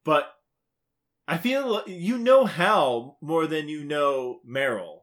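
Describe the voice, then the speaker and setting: Bright-voiced man in casual podcast chat. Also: on the high side, animated, slow. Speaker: podcast co-host. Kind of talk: casual chat about video games.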